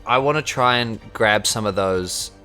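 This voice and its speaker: A man's voice: on the low side, measured pace, pushed and bright, clean tone, soft background music. A man with a New Zealand accent playing a tabletop role-playing game, thinking aloud in casual, hesitant chat.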